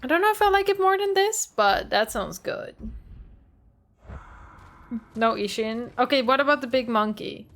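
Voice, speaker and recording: A woman's voice: sits high, expressive, normal speed, pauses often, a bit bright. Playful woman streamer. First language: Dutch. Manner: chatty, playful, greets chat. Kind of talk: live gameplay commentary.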